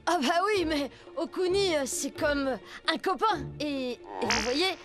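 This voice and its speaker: A woman's voice: high voice, lively intonation, unhurried, fairly bright tone, faint music behind. A woman voicing a cocky, swaggering, condescending schoolboy ninja in a cartoon. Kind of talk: cartoon voice acting.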